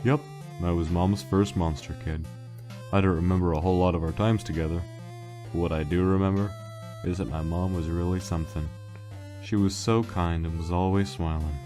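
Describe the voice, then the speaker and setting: A man voicing a weary, guilt-laden skeleton joker in a dramatic fan comic dub, telling his story in a halting, wistful way. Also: deep voice, fairly even intonation, warm tone, faint music behind, close to an everyday voice. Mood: wistful, reflective.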